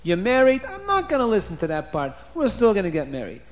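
Man putting on a high, wailing wicked king. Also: huge pitch swings. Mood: stubborn refusal. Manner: wailing, drawn-out cries of woe. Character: wicked, self-pitying king, wailing and stubborn.

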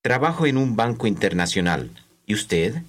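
A man reading scripted language-course dialogue, enunciating slowly and carefully for learners. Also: lowish voice, very animated.

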